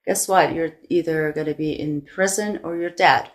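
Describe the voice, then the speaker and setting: A woman's voice: deep voice, fairly even intonation, moderate pace. A woman from Iran with a Persian accent, speaking as a guest in an earnest, impassioned way with many restarts. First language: Farsi. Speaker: salon owner and guest. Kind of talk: interview chat.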